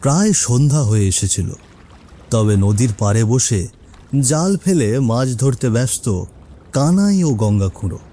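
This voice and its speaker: A man's voice: mid-range voice, expressive, normal speed, warm tone, slight background noise. A man narrating a cartoon story as scripted suspense, in a dramatic storytelling manner.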